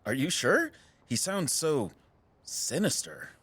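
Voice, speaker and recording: An actor playing a keen, suspicious amateur sleuth in a casual, excitable way in an audio drama. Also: male voice, on the high side, takes it slowly, breathy edge, no echo, heavily acted. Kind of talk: scripted audio drama dialogue.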